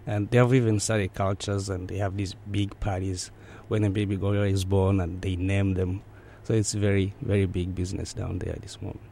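A man's voice: low-pitched, flat delivery, brisk, vocal fry, hardly any pauses, warm tone, slight background noise. A man from Eastern Congo with a Central African accent, recounting personal memories as an interview guest.

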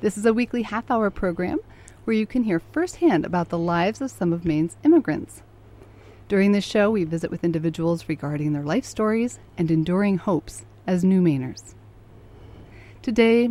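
A woman's voice: lowish voice, expressive, normal speed, warm tone. A woman hosting an interview program, introducing and questioning her guest. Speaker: radio interview host.

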